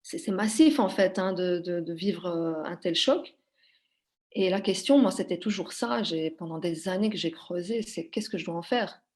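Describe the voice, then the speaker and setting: A woman's voice: on the low side, normal speed, a little mellow. A woman from Belgium, a podcast guest, talking in a hesitant conversational way with many fillers and restarts. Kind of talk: conversational interview.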